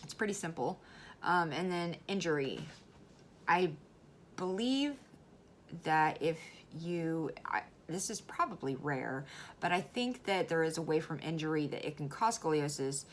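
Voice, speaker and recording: Woman vlogger, low voice, slightly hoarse, chatty, repeats herself, soft laughs, faint background noise. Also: lively intonation, unhurried. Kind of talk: casual educational vlog.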